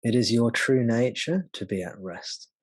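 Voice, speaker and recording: A man guiding a meditation as a spiritual teacher, speaking gently and unhurriedly with long pauses. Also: medium pitch, expressive, breathy edge, dry recording. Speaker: spiritual teacher leading satsang. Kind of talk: slow guided meditation.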